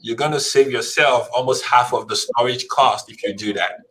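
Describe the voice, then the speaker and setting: A man's voice: mid-range voice, normal speed, a bit bright. A man, a guest on an interview show, giving practical step-by-step advice on shipping in a casual way. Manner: practical, explains step by step, says "right?" often. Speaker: guest, logistics business founder.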